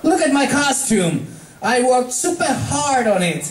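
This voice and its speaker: A man's voice: very high voice, sing-song, measured pace, slight background noise, pitched above the performer's own voice, exaggerated voice. A man voicing a brash, confident young female pegasus pony in a story reading, casual and boastful. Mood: excited, boastful. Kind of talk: fan fiction story reading. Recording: echoey room, distant mic.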